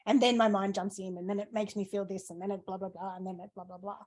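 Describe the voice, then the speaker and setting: A woman's voice: medium pitch, level tone, quick pace. A woman asking questions in a casual conversation, speaking in a heartfelt, thoughtful way. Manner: warm, emotional, thoughtful. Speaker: interviewer. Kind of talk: casual chat.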